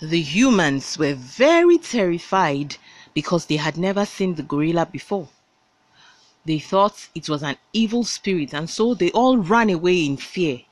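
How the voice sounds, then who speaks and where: A Nigerian-accented woman narrating a folktale in a deliberate, emphatic storytelling manner. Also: lowish voice, dry recording. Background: background music.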